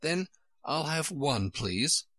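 A man's voice: a little high, sing-song, takes it slowly, a bit bright, no echo, studio quality, voice pushed up, full character voice. A man voicing a curious, polite young girl in a raised, wondering and timid voice.

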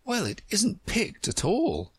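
Storybook role: sensible, polite little girl, voiced by a reader. Raised pitch, earnest, careful, slightly breathy. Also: wildly swooping pitch, slow pace, no room sound, heavily acted. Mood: eager. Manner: grave, careful, eager to answer.

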